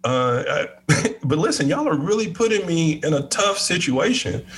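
Animated man, preacherly and joking. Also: on the high side, moderate pace, a little hiss or hum. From Arkansas. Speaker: podcast guest. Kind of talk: casual conversation on faith.

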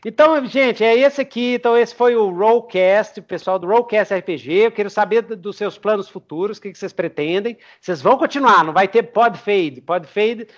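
A man's voice: sits high, moderate pace, hardly any pauses. A man with a Brazilian Portuguese accent hosting a casual podcast chat, telling stories and repeating phrases as he goes. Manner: animated storyteller, repeats phrases, slips into character voices. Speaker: podcast host. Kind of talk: casual chat with RPG tips.

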